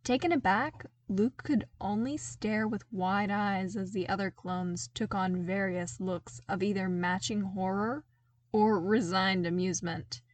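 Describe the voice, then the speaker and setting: A woman's voice: mid-range voice, fairly even intonation, measured pace, dry recording. A woman reading a story aloud as a scripted audiobook-style narration. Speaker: podfic narrator.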